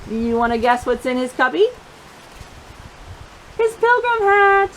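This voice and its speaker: A woman reading a picture book aloud for young children, expressive and encouraging, pausing to put questions to her listeners. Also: sits high, a little hiss or hum, poor audio. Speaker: children's storytime reader.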